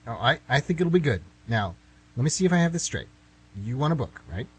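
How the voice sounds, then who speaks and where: A man's voice: mid-range voice, sing-song, a little fast, husky. A man playing a flustered, exasperated writer who stammers and protests in a comic radio play. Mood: bargaining. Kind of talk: radio play comedy acting.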